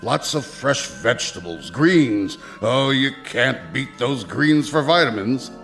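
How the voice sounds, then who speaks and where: Hearty preachy office boss, condescending, very varied intonation, radio drama, background music. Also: male voice, mid-range voice, expressive, slow pace, a bit bright. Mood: hearty, lecturing. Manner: pompous, preachy, slyly probing.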